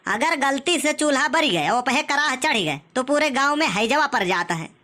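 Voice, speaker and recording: A man's voice: very high voice, lively intonation, normal speed, sharp tone, a little hiss or hum, exaggerated voice. A man voicing a sly, stingy village host in a comic cartoon, with an Awadhi accent and mock-polite excuses. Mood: mock apologetic.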